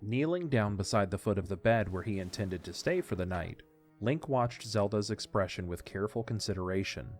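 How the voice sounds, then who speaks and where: A man's voice: on the low side, lively intonation, moderate pace, a little mellow. A man reading scripted story narration as the narrator of an audio drama. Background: faint music.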